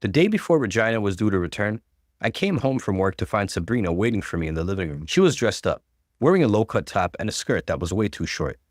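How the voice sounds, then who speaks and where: A man's voice: on the low side, very animated, quick pace, no echo, studio quality. A man reading a first-person story aloud as a dramatic narrator.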